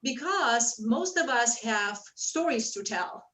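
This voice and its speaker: A woman from Bulgaria speaks in a fairly high voice, earnest and measured. She stresses her points in steady lists. She sits back from the mic of a video call in an echoey room. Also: far from the mic. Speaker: women's empowerment coach. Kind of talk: prepared panel introduction.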